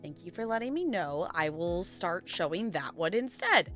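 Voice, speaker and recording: A woman's voice: on the low side, big pitch swings, normal speed, a bit bright, faint music behind. A woman live-streaming, chatting casually with her viewers in a rambling, giggly way.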